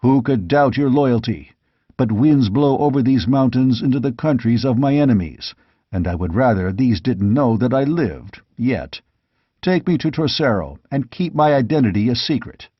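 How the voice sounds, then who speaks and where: A proud warrior king, voiced by a male narrator for a fantasy audiobook. He speaks with guarded, measured authority at a medium pitch. The recording is dry and somewhat dull. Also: expressive, husky, no room sound. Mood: guarded, firm.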